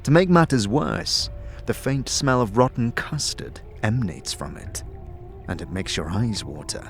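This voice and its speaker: A man giving scripted fantasy narration in the second person, as a measured, dramatic storyteller. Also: mid-range voice, lively intonation, vocal fry, soft background music.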